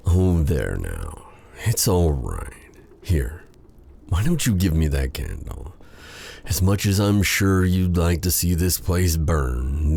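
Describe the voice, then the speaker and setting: A man playing a proud but tender dark sorcerer in an intimate ASMR roleplay drama, speaking with halting, theatrical courtliness in a breathy, gravelly voice. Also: rumbling low, exaggerated voice. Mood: tender, soothing.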